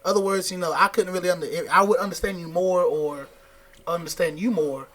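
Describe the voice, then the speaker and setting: A man in casual conversation, animated, stumbling over restarts as he explains. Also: high voice. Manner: animated, halting restarts, laughs often. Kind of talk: casual chat.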